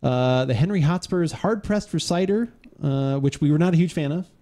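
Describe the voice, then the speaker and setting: A man's voice: a little high, normal speed, warm tone. A man in his forties hosting a live-stream podcast from a home studio, rambling and joking with lots of fillers into a studio mic. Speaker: podcast host. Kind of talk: casual live-stream chat. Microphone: studio mic on boom arm.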